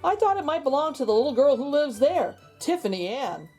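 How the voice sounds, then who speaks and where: A woman's voice: sits high, big pitch swings, a little fast, darkish tone, soft background music. A woman voicing a friendly, sheepish male neighbour in a children's storybook.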